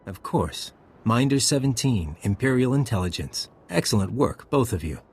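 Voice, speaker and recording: An actor playing a cocky, ruthless spy handler in a video game, speaking in a wry, brisk, commanding way. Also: male voice, medium pitch, animated, a little mellow, slightly rough.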